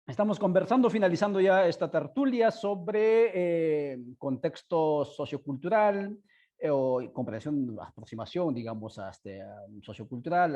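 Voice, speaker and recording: A man who speaks Spanish as a second language, hosting an online discussion in a halting, informal way, with many fillers and tag questions. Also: mid-range voice, mellow. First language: not Spanish. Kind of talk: informal spoken commentary to an online audience. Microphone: headset on a video call.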